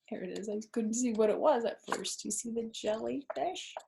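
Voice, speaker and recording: A woman's voice: fairly high pitch, normal speed, creaky, background noise. A woman in her fifties, a grandmother reading aloud to children expressively, with simple asides and questions. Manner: expressive, explains words simply, asks listeners questions. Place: living room, indoors.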